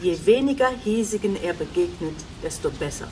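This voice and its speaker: A woman's voice: fairly low pitch, expressive, brisk, darkish tone, slight background noise, close to an everyday voice, low-quality recording. An actress in a radio drama playing a wary, blunt, superstitious woman who keeps a hotel. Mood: curt, wary.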